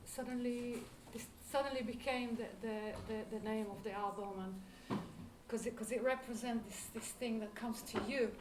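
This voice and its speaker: A woman's voice: on the high side, fairly even intonation, normal speed, hardly any pauses. A woman with an Israeli accent, a lecturer answering audience questions, reflective and searching for words. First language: Hebrew. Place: lecture hall. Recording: roomy hall, distant mic, background noise.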